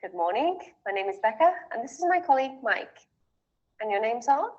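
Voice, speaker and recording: A woman acting as examiner, reading formal exam prompts in a measured way. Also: fairly high pitch, sing-song, darkish tone, muffled recording. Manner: formal, measured, rising on each question.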